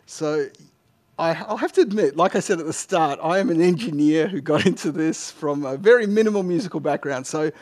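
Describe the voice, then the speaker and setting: A man's voice: fairly high pitch, brisk, a little mellow. A middle-aged man giving an informal tech talk and answering questions, self-deprecating and often rephrasing mid-sentence. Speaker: conference speaker. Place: indoor lecture room, on stage at a lectern. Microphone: headset microphone.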